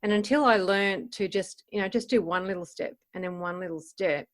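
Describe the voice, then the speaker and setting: A woman's voice: medium pitch, brisk. Candid middle-aged woman giving interview answers. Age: around 50. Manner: candid, informal, often voices own thoughts as quotes. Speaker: life coach and podcaster being interviewed.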